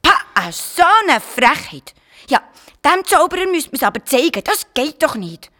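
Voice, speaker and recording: A woman with a Swiss German accent voicing an eager, cheeky little creature that talks in loud, bossy exclamations. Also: a little high, expressive, unhurried. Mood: indignant. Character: small eager excitable creature, bold and cheeky. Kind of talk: children's storytelling.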